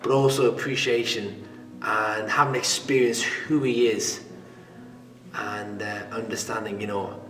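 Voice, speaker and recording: A man's voice: fairly low pitch, fairly even intonation, a little slow, pushed and bright. A man in his twenties giving a church testimony, hesitant and often trailing off into a near whisper. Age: 20s. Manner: hesitant, many fillers, drops to a near whisper. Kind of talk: testimony interview. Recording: echoey hall, mic far off, music behind.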